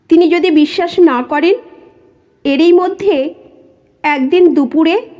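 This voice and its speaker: A woman narrating a scripted first-person story in a measured, dramatic storytelling manner, with dull lo-fi sound. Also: sits high, darkish tone.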